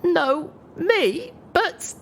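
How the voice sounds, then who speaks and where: A man's voice: lively intonation, extremely slow, vocal fry, fairly bright tone, a little hiss or hum, exaggerated voice. A man voicing a bashful, flustered young female pony heroine who pleads and stammers, in an audiobook story. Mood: flustered, embarrassed. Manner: stammering, squeaky protests.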